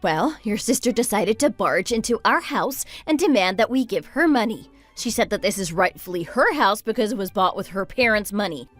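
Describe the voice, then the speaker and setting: A woman playing an indignant, sarcastic wife in a dramatized first-person story, with an expressive, exasperated delivery. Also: mid-range voice, moderate pace, few pauses, faint music behind. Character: indignant, sarcastic wife at the end of her patience.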